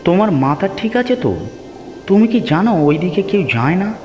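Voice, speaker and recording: A man's voice: high voice, animated, moderate pace. A man voicing a poor, hardworking cartoon village husband, plain and earnest, who gives in to his wife. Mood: startled, wary. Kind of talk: cartoon story dialogue. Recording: music behind the voice.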